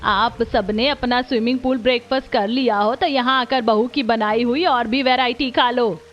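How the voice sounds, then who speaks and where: Woman as a doting mother-in-law, high-pitched. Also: fairly even intonation, moderate pace, natural voice. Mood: brisk, kindly. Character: doting, hospitable middle-aged mother-in-law, running a wealthy household. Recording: dull lo-fi track with music.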